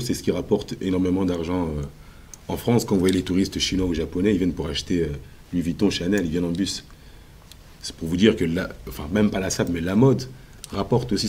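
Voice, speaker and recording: A man answering questions as a musician guest in a TV interview, confident and emphatic, with frequent filler pauses. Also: deep voice, a little mellow, husky. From Congo.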